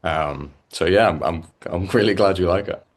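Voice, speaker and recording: A young Irish man, a musician guest, chatting casually in an interview with lots of fillers and restarts. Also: deep voice. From Ireland. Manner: halting, many fillers and restarts.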